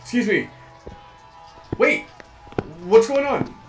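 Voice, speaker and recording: A man's voice: very high voice, very animated, slow, vocal fry, soft background music, heavily acted, poor audio. A man playing a curious, nervy young drifter in a sci-fi audio drama, talking casually. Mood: alarmed.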